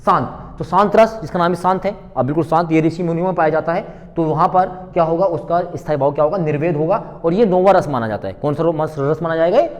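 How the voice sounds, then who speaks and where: Male exam-prep lecturer, slightly high voice, didactic question-and-answer delivery, recorded with long hall reverb. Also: fast talker, hardly any pauses. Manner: didactic, asks questions and answers them himself, repeats key points.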